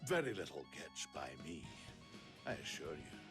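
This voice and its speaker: A man voicing a flamboyant, ageless vampire gentleman in a video game, grandiose, self-assured and unhurried. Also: rumbling low, big pitch swings. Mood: suave, assured. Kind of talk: video game character acting. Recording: studio voice under loud guitar music.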